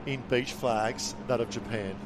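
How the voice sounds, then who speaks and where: Excitable male sports commentator. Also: medium pitch, measured pace, noisy background. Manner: excitable, calls the action in bursts. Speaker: play-by-play commentator.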